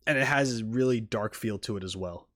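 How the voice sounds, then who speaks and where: A man's voice: lowish voice, a little fast, a bit bright, dry recording, clean studio sound. A man doing casual, off-the-cuff reaction commentary, rambling and repeating words as he thinks aloud. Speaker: YouTube reaction host.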